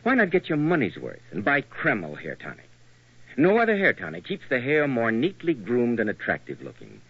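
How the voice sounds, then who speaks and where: Male American announcer for vintage radio sponsor spots; mid-range, very varied pitch, dull lo-fi sound. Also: wildly swooping pitch, moderate pace, slightly rough, no echo. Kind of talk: 1940s radio advertising announcing. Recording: muffled old radio broadcast.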